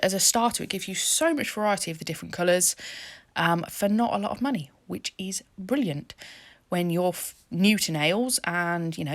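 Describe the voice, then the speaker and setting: Woman, chatty voice-over, giggly, self-deprecating, lively pitch. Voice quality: slightly deep for a woman. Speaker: craft channel host. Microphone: voice-over mic.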